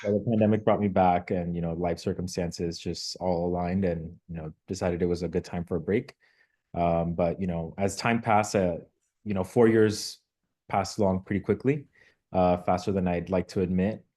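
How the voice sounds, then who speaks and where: A young man from Mexico answers interview questions, thoughtful and measured, pausing on small fillers. His voice sits low and deep, with a dark, mellow tone. He sounds like a local official telling his own story. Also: fairly even intonation. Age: young adult. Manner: measured, reflective, with filler words. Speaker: guest, local elected official.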